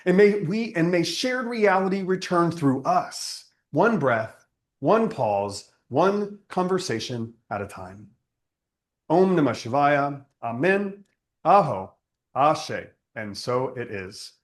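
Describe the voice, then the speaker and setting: Expressive man leading prayer, deeper-pitched delivery avoided. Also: takes it slowly. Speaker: spiritual service leader. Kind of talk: prayer and spiritual teaching.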